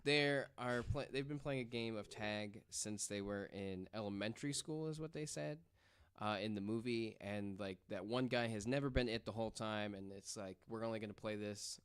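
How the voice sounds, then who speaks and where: A man's voice: on the low side, normal speed, smooth. A man telling a story casually as a podcast guest, in loose, informal wording.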